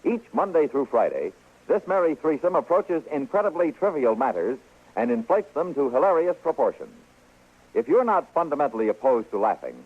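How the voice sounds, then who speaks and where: A male announcer reads in a dramatic old-time radio style. His voice is husky and very animated in pitch. The broadcast recording is thin and tinny. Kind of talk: dramatic mid-century scripted radio announcing. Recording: tinny, band-limited vintage radio broadcast.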